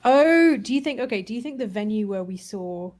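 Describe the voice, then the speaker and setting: A woman playing a game with a friend, chatting in a speculative, animated way. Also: on the high side, fairly quick. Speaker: game player. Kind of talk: casual chat.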